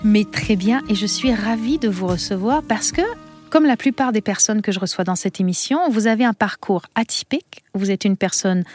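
A woman's voice: mid-range voice, normal speed, a little mellow, soft background music. A woman hosting a radio interview, asking guiding questions in a polite, complimentary way. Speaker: radio host.

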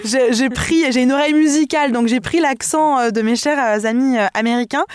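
A woman's voice: sits high, a little fast, smooth, no echo. A woman, a singer and vocal mentor, talking expressively as a radio interview guest, often laughing and restarting her sentences.

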